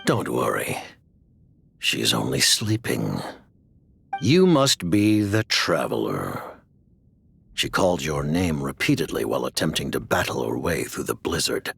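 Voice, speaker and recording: A secretive, commanding man speaks in a very deep, gravelly voice. His pitch rolls widely, but his delivery stays composed and cryptic. Faint low noise hums behind him. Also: sing-song, measured pace, fairly bright tone, heavily acted. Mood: calm. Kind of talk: video game voice acting.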